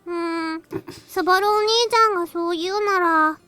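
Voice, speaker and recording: A woman's voice: very high voice, fairly even intonation, fast talker, pushed and bright, dry recording, faint music behind. A woman voicing a cute, prissy, teasing little girl in an anime-style game, childlike and cutesy in manner. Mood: coaxing. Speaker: visual novel voice actor.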